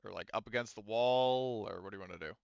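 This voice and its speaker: A man running a tabletop game as game master, talking casually and haltingly as he directs the players. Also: fairly low pitch, gravelly, a little mellow, clean tone, dry recording, dull audio.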